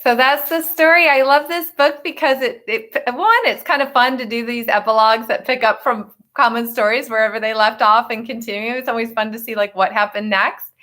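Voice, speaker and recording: A woman reading a picture book aloud to children, expressively and with dramatic pauses, adding explanatory asides in a teacher's manner. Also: on the high side, fairly even intonation, pushed and bright.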